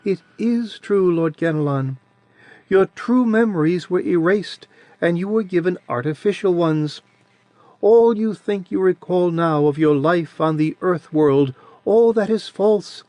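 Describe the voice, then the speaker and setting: A man's voice: sits high, a little slow, no echo, voice pushed up. A man doing Adiran, a sweet-voiced ancient crone. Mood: eerie calm. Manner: soft and quiet. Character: ancient tiny crone of a coven, sweet childish voice. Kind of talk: audiobook character voice.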